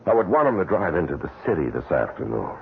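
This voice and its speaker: A wealthy widower with something to hide, hesitant and indignant by turns. His voice is low and creaky, leaping about as he gets defensive. The old radio recording is thin and noisy. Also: big pitch swings, fast, phone-quality sound, full character voice. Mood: uneasy, hesitant. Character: guilty, flustered wealthy widower, uneasy male employer. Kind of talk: old-time radio drama acting.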